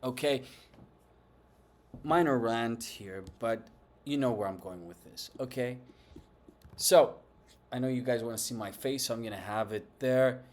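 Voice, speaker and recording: A man's voice: medium pitch, unhurried, pauses often. A man whose first language is Greek, speaking English with a Greek accent as the host of a finance channel. He gives opinionated market commentary in an emphatic, lecturing way and keeps tagging his points with questions. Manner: emphatic and lecturing, with many tag questions and taunts.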